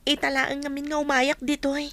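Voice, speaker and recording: A kind young heiress in a Filipino radio drama. Her voice is very high and bright, recorded close and dry, with earnest, emotive delivery. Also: monotone, moderate pace, no echo. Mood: respectful. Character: young woman heiress, kind new boss.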